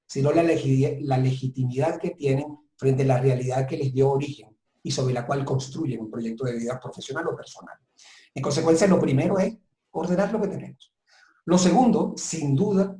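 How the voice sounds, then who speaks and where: Man in an echoey room, mellow voice. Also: fairly high pitch. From southern Italy. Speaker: business consultant, guest. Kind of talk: webinar interview, giving advice. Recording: roomy, echoing sound.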